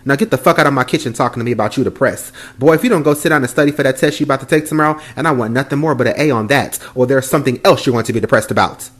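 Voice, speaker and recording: A man's voice: mid-range voice, racing, few pauses, a bit bright, close to an everyday voice. A man playing a blunt, petty, profane mother. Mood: lecturing. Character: stern foul-mouthed mother and grandmother, petty. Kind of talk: comedy skit acting. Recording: roomy echo.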